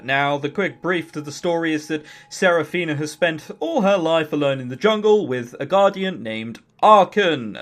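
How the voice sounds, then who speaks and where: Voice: mid-range voice, fairly bright tone. An opinionated reviewer giving informal game commentary, blunt and sweary, with comic exaggeration. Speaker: visual novel reviewer and channel host. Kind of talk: informal video review commentary, scripted in parts.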